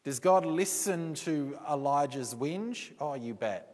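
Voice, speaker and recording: Man, pastor, sermon, unhurried delivery, long church reverb. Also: fairly high pitch, animated, darkish tone. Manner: slow, deliberate preaching with dramatic pauses and acted-out quotes.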